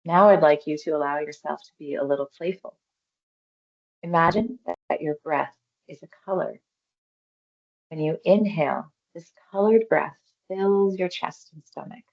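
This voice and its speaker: Casting: woman, low creaky voice, meditation guide, gentle and reassuring, unhurried phrasing, slightly muffled recording. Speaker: mindfulness exercise guide. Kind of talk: guided meditation, body scan instruction.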